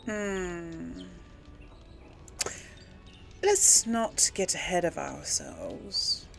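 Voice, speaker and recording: A woman's voice: on the low side, lively intonation, drawn-out words, vocal fry, fairly bright tone, faint music behind. A woman hosting a casual let's play, musing and thinking aloud as she goes. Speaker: gaming video host. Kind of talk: casual let's play commentary, some role-played replies.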